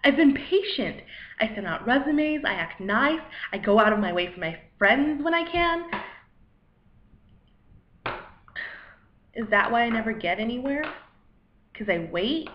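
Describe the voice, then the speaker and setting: A woman acting a dramatic monologue as a weary, self-deprecating failed poet, anxious and rambling, in a halting, wry, conversational way. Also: on the high side, very animated, slight background noise. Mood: frustrated, wounded. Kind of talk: dramatic monologue, cold read. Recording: echoey room, dull lo-fi microphone.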